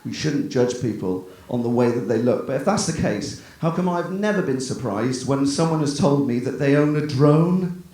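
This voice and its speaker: A man doing a stand-up set at a comedy night, talking in a chatty, self-deprecating way with quick asides. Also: mid-range voice, lively intonation, dark tone. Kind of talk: stand-up set, then casual chat. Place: comedy club stage. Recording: very echoey venue with background noise.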